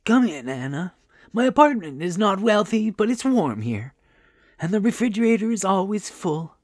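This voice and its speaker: Narrator doing a kindly old granny for an audiobook, pitched way up, chatty and motherly, swoopy. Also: sing-song, normal speed, no room sound, clean studio sound. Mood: reassuring. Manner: motherly, reassuring, emphatic. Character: kindly, chatty old grandmother, fussing and protective.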